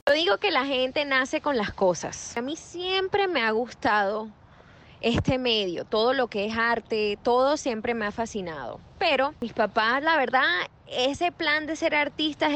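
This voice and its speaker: Animated young woman, slightly high voice. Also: a little hiss or hum. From Venezuela. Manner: animated, quick restarts and repeats. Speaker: actress guest. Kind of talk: interview answers.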